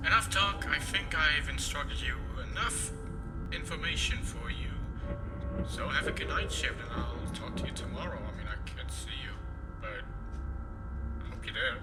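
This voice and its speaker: Man, lively up-and-down pitch, rambling phone message, hesitant, loud music behind. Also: poor audio. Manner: rambling, hesitant, with many fillers. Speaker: phone guy giving night shift instructions. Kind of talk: recorded phone message in a fan game.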